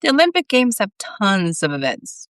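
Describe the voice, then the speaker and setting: A woman voicing a gentle, awkward male army veteran in a storybook, coaxing and upbeat. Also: medium pitch, sing-song, normal speed, no room sound, clean studio sound, voice pushed up. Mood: tentative.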